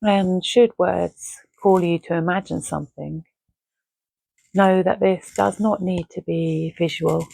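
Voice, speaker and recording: Slow, mellow woman guiding meditation. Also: fairly low pitch, fairly even intonation, vocal fry, slightly rough, no echo, low-quality recording. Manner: gentle, unhurried, with long pauses between phrases. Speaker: meditation guide. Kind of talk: guided Yoga Nidra relaxation, soothing and measured.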